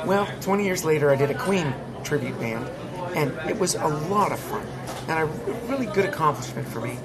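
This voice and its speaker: A man's voice: a little high, expressive, a little fast, over a noisy crowd. A man with an American accent, a touring musician chatting candidly in an interview and laughing often. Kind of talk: casual interview chat.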